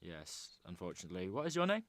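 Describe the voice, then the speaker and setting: A man's voice: deep voice, huge pitch swings, fast talker, fairly bright tone, no room sound, heavily acted. A man playing a grave, courteous police chief in an amateur radio drama, speaking formally.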